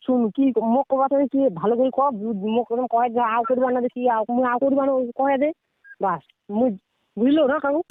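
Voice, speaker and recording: A young man with a North Bengal accent argues casually on a call. His pitch is strikingly high and his words come quickly. The line is thin and tinny. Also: steady tone, no echo. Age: teens to young adult. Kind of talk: casual, argumentative phone chat. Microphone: phone call. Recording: tinny phone-line sound, dry.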